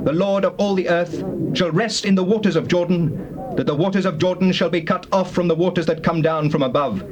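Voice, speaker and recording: A high, emphatic British voice of a male actor plays a commanding Israelite leader in an audio Bible drama. He proclaims solemnly and formally to his people. The tape is old and clearly noisy. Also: a little fast, natural voice. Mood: solemn proclaiming.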